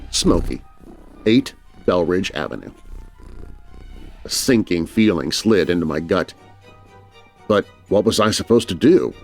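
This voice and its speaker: A man narrates a spooky children's story with theatrical expression. His fairly low voice swings in a lively way from phrase to phrase, with faint music underneath. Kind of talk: animated first-person scripted narration of a children's horror story.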